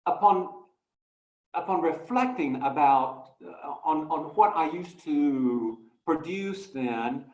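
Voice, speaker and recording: A man presenting his artwork in a talk, speaking slowly and reflectively with many fillers and restarts. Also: a little high, expressive, darkish tone, reverberant, poor audio. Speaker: guest artist.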